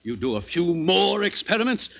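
An actor playing a stern, practical friend of a scientist, forceful and earnest in 1950s radio drama style. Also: male voice, high-pitched, sing-song, normal speed, creaky, breathy edge, heavily acted. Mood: urgent reproach.

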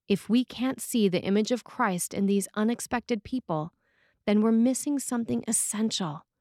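A woman's voice: mid-range voice, darkish tone, no echo. Woman reading a sermon, dry close sound. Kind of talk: read sermon, scripted.

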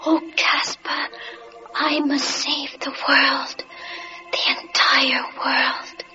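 A woman in an old American radio drama voicing a gentle ghostly boy, pleading in a hushed, breathy, slow voice over music on a muffled recording. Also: sits high, little pitch movement.

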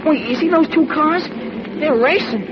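An actor plays an eager young copy boy in a 1940s radio serial. The voice is high and boyish, with polite manners. The old recording is muffled and very noisy. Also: expressive, moderate pace. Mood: boyish, curious.

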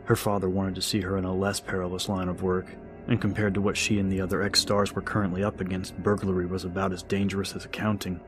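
A man's voice: sits low, level tone, fast. A man narrating a scripted horror story as an audiobook narrator. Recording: music under the voice. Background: music.

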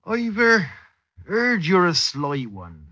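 Sly English lawman, radio drama, bright higher voice, hesitant insinuation. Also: wildly swooping pitch, slow, dry recording, heavily acted. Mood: sly, insinuating. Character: sly, slow-spoken English street constable of the 1720s. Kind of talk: 1950s radio drama acting. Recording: dull old radio recording.

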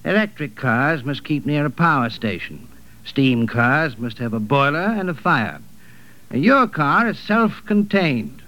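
An actor playing an emphatic, encouraging inventor in a 1940s radio drama, declaiming each phrase deliberately. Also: male voice, mid-range voice, animated, bright, forceful tone. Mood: emphatic encouragement. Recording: muffled vintage radio recording.

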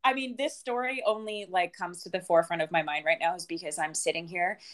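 Raspy, hungover young woman chatting. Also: fairly low pitch, fairly even intonation, fast talker, fairly bright tone. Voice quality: raspy, ragged voice. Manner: rambling, laughing, self-mocking. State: hungover and tired. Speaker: podcast co-host, wedding singer. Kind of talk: casual chat.

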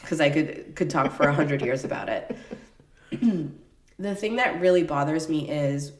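A woman co-hosting a podcast in casual chat, her voice stuffed up and deeper than usual, talking in a chatty, animated way. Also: unhurried, reverberant. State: stuffed up, voice deeper after illness. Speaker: podcast co-host.

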